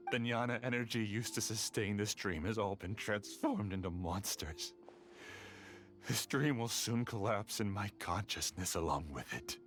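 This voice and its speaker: An actor playing an obsessive, grieving scholar villain in a video game, theatrical and emotional. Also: male voice, lowish voice, normal speed, fairly bright tone, natural voice. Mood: despairing, bitter. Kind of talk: dramatic video game voice acting. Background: game music.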